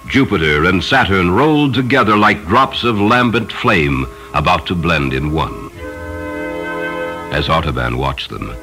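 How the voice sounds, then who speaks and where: A man narrating a literary story in a solemn, measured, dramatic way. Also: sits low. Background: music behind the voice.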